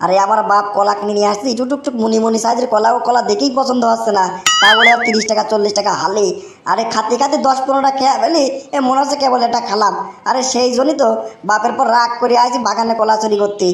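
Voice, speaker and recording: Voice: medium pitch, fast talker, fairly bright tone, lightly acted. A performer voicing a whiny, greedy young village man in a comic cartoon, exclaiming and repeating in a dialect. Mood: complaining. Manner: exclamatory, whining, repetitive. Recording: roomy echo, faint background noise.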